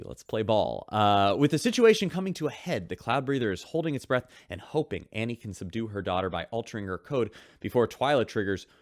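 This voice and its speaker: A man's voice: lowish voice, no room sound, studio quality. A man as a chatty, upbeat radio show host. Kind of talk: scripted radio drama host.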